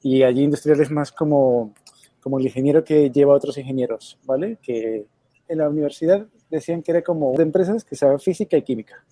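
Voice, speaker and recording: A man from Colombia, a guest in a casual interview, telling his story in a relaxed way with casual swearing. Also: fairly high pitch, fast talker, dry recording. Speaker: guest, web designer.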